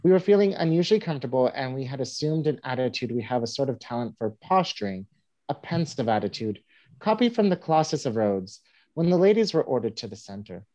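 A man's voice: medium pitch, fast talker, lightly acted. A man playing a pompous, comically wounded newspaper humorist in a mock-grand stage monologue. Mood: mock dignity. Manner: mock-grand, animated delivery. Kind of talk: theatrical reading of a humorous monologue. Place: indoors at home on a video call. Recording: dull video-call audio.

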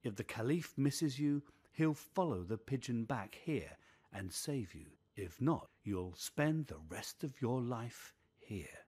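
Menacing, lonely growling ghoul for a storybook, male reader; American, husky, deliberate pace. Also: medium pitch, lively intonation, darkish tone. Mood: stern bargaining. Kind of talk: storybook reading.

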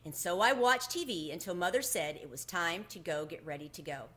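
A middle-aged woman reading a children's story aloud in an animated storytelling manner. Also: fairly low pitch, brisk. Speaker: book reader. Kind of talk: expressive children's read-aloud. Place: home room with bookshelves, indoors. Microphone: webcam at desk distance.

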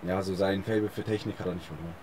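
Low-voiced young man, casual outdoor chat. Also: fairly even intonation, racing. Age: young adult. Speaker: interview guest. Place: outdoors on a park bench by a forest. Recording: faint outdoor background noise.

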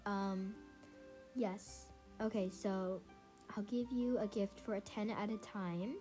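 A young woman with a congested, stuffy voice, casually commenting on her gameplay, chatty and excitable with many fillers. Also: mid-range voice, slow pace. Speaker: gaming channel host. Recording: faint music behind. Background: faint game music.

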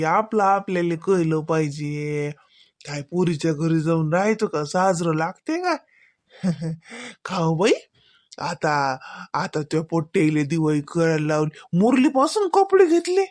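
A woman's voice: mid-range voice, a little mellow, no room sound, clean studio sound, lightly acted. A woman playing a modest, fretful old village grandmother in a rural comedy cartoon, grumbling in homely Varhadi Marathi. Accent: Varhadi Marathi, Vidarbha.

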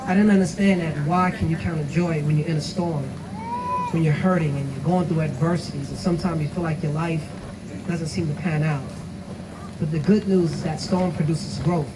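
Emphatic program director from New York. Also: male voice, on the high side, steady tone. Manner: measured, emphatic lists. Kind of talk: motivational speech. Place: outdoor stage under a tent. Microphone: handheld mic, far camera. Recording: distant camera, loud outdoor noise.

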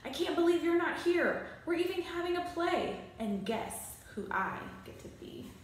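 A woman voicing an excitable, earnest schoolboy, the hero's best friend in a storybook. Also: very high voice, moderate pace, a little hiss or hum, voice pushed up. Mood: excited. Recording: very echoey small bare room.

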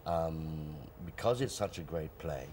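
Older man, Trinidadian accent, very low voice, emphatic, TV studio guest, lapel mic. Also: expressive, unhurried, a little mellow, a little hiss or hum. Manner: emphatic, repeats words for stress. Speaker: actor, interview guest. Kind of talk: casual TV interview talk. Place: TV studio sofa.

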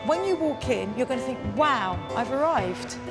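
A woman's voice: sits high, expressive, moderate pace. A middle-aged woman speaking emphatically and dramatically in a documentary interview. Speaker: hotel owner. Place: large bare room under renovation. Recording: prominent music under the speech.

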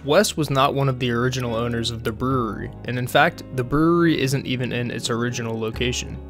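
A young man reading scripted documentary narration in a measured, formal way. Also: medium pitch, steady tone. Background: soft plucked guitar music.

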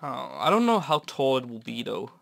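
A young man from Norway, raspy and stuffy from a cold, rambling easily with many fillers while commenting on a building game. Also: medium pitch, sing-song, moderate pace. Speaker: Minecraft builder. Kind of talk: casual gameplay commentary. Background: soft guitar music.

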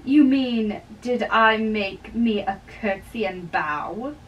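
A young woman giving an amateur dramatic reading of a play, halting and stumbling over words, recorded by a distant camera in a bedroom. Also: a little high, highs cut off, slight background noise. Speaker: amateur reader. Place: small bedroom, indoors. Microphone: far camera. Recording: distant microphone in a room.

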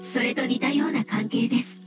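Woman acting a high-voiced, courteous fungus creature. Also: fairly even intonation, loud music behind the voice, lightly acted. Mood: polite, explaining. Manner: formal, measured, courteous. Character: polite, gentle floating jellyfish-like fungus creature. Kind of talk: scripted game dialogue.

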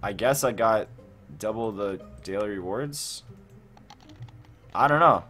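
A man hosting a casual gaming let's play, thinking aloud in an excitable, repetitive way. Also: lowish voice, slow. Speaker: gaming video host. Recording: music behind the voice.

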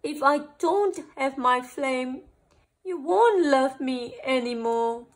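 A woman voicing a grumpy, excitable little boy dragon in a storybook, exclaiming and growling with drawn-out words. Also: high-pitched. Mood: sad.

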